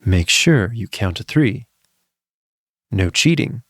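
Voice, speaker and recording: Man voicing a playful, coaxing closet monster, lively pitch. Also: slow pace, no room sound, clean studio sound. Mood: insistent. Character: golden-eyed closet monster, chatty, playful, slyly polite.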